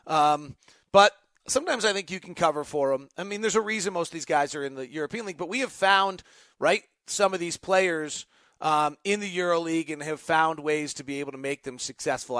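A man's voice: on the high side, fairly bright tone, no echo. An American man hosting a casual live sports podcast, conversational and emphatic, giving his opinions as he goes. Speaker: host, basketball announcer and insider.

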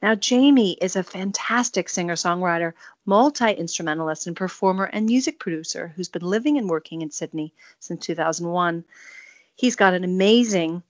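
A woman's voice: fairly low pitch, normal speed, no echo, highs cut off. A woman hosting a radio interview, introducing her guest in an effusive, gushing way. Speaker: radio host.

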